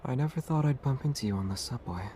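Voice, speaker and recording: A man playing a calm young monster hunter in a video game, teasing in an understated, deadpan way. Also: medium pitch, fast, slight background noise, lightly acted. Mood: quiet, casual.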